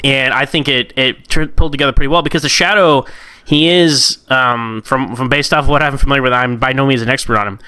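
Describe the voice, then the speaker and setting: A man with a bright, crisp voice talks in a casual, enthusiastic way, like a podcast guest on a favorite hobby. He rambles in an animated manner and doubles back on his words. The sound is close and dry. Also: mid-range voice, fairly quick, no echo. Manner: animated, rambling, repeats words mid-thought. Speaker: podcast guest commentator on comics.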